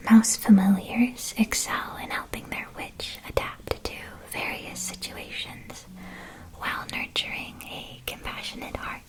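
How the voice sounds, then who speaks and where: A gentle witch shopkeeper, played by a woman, speaks in a soft, breathy whisper. She takes her time over each phrase, careful and politely attentive, in the manner of a fantasy ASMR roleplay. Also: a little high, steady tone, unhurried, natural voice, rough recording. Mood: gentle, informative.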